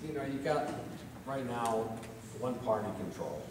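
A man's voice: mid-range voice, big pitch swings, unhurried. An older man legislator speaking at a town hall meeting, frustrated and conversational with many fillers. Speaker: state legislator. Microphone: far room camera. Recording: echoey hall, distant mic, noisy.

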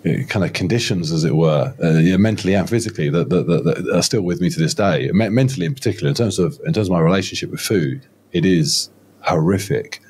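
A man's voice: low-pitched, fast talker, darkish tone, slightly rough. A man of about fifty, a podcast guest telling his story in a rambling, self-deprecating way, with many restarts. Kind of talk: casual podcast interview. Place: home studio room, indoors. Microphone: close studio mic with headphones, video call.

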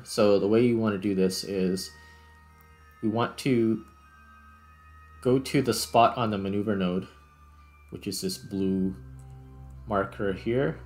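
A man with a fairly low voice talks through a video game in a casual way. He thinks aloud and explains as he goes, with some music playing behind him. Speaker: gaming video host. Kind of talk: casual gameplay commentary.

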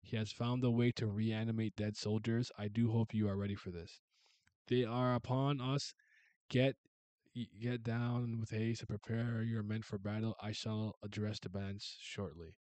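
A man voicing a calm, wise old male mentor in formal, archaic speech. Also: lowish voice, moderate pace, dry recording, natural voice. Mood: grave.

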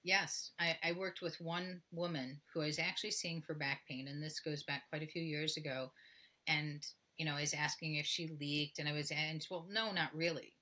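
A woman's voice: sits low, steady tone, moderate pace, pushed and bright. A middle-aged woman talking candidly as a guest expert in a podcast interview over a video call, often acting out bits of dialogue. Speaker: guest expert, pelvic floor physical therapist. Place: indoor room, video call.

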